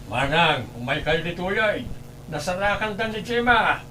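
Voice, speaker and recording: A man acts a helpful younger kinsman in a radio drama. His voice sits a little high, with an earnest, respectful, coaxing manner. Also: lively intonation, normal speed, pushed and bright, a little hiss or hum. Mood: reassuring.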